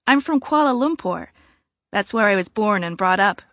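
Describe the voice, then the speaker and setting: An actress playing a friendly young woman who signs up for a social club, answering questions in a relaxed, clear way. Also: medium pitch, normal speed, no room sound. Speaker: new club member. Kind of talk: scripted listening-test dialogue. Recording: dry, slightly dull studio recording.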